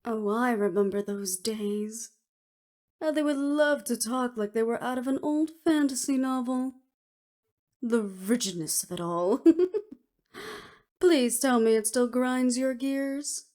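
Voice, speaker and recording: A woman's voice: fairly high pitch, expressive, slow, pauses often, darkish tone. A woman acting in an audio roleplay as a sardonic, teasing estranged friend, with a theatrical drawl. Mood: mocking, amused.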